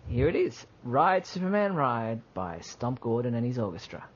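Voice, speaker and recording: A man's voice: mid-range voice, very animated, moderate pace, heavy background noise. Teasing male podcast co-host. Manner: friendly, teasing, uses fillers. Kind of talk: casual chat. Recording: slightly muffled podcast audio.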